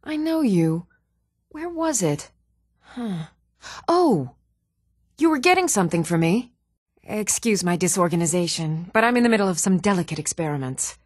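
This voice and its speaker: An actress playing a scatterbrained, excitable woman mage in a fantasy video game, absent-minded and emphatic, questioning herself. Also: fairly low pitch, moderate pace, pauses often, no echo, studio quality. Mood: flustered. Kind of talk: fantasy game character dialogue.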